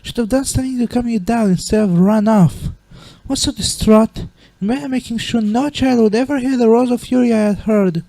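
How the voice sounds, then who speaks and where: A woman acts out a motherly monster queen for a fan comic dub, her voice dark and soft-edged and recorded very dry. She speaks formally and gently, with refined diction and a remorseful temper. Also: a little high, normal speed, gravelly, no room sound, rough recording.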